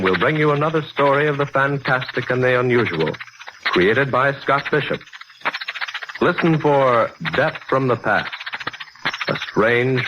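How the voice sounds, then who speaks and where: An American man announcing a scripted radio programme in a formal, dramatic 1940s style. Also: medium pitch. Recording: noisy, crackly 1940s radio recording.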